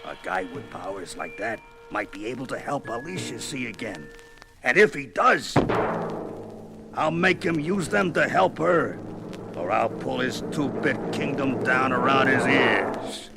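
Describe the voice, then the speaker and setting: An actor playing a gruff, wisecracking brawler of a superhero on a 1970s record, telling his story in sardonic tough-guy slang. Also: mid-range voice, lively intonation, unhurried, over loud music. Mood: musing, determined.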